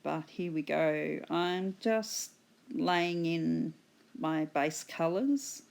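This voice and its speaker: A woman with an Australian accent, hoarse from a cold, patiently explaining her painting steps in a tutorial voice-over. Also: deep voice, darkish tone. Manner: slow, patient explaining with fillers. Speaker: art instructor.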